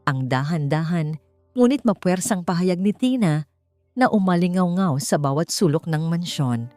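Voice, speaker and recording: Low-pitched woman narrating drama over music. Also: lively intonation, moderate pace, warm tone, dry recording. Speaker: storyteller, narrator. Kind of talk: dramatic scripted story narration. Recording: dry voice over background music.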